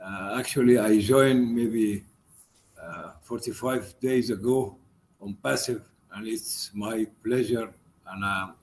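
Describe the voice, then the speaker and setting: A man greeting colleagues in an online meeting in a halting, courteous manner. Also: fairly low pitch, darkish tone.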